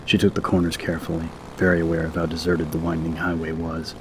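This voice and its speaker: A man's voice: low-pitched, completely flat delivery, quick pace, background noise. A man narrating an audiobook from a script in a dramatic story style.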